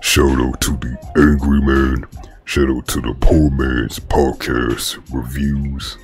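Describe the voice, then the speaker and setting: A man hosting a commentary vlog, speaking in a slow, deliberate, even way. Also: very deep voice, soft background music. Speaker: commentary channel host.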